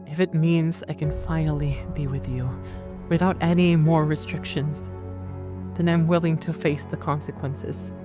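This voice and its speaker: An actress playing a determined woman, a chief's daughter, speaking in an earnest, measured way over music. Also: sits low, fairly even intonation, close to an everyday voice. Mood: tender. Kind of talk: audio drama acting.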